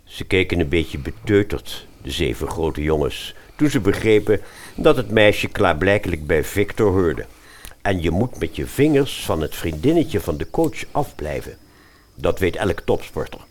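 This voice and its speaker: A man narrating a comic fairy-tale audio drama as an expressive storyteller. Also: low-pitched, normal speed.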